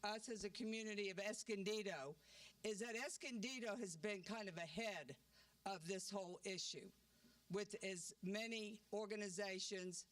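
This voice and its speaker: A woman's voice: mid-range voice, dry recording. An older woman giving an outspoken, chatty public comment at a community forum, tagging her points with "okay?". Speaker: community member speaking at a forum. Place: church hall at a community meeting. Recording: dry, distant handheld mic.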